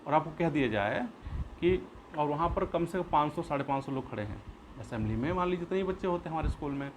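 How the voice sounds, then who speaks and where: Male teacher, fairly high pitch; explains step by step with rhetorical questions, light noise behind the voice. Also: expressive, quick pace. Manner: repeats and rephrases points, poses questions then answers them. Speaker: school teacher. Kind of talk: explanatory classroom-style lesson. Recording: clear background noise.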